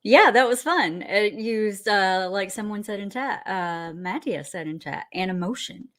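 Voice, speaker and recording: A woman's voice: mid-range voice, animated, unhurried, a bit bright. A woman co-hosting a live conference stream, chatting casually and giggling between talks. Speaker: event co-host.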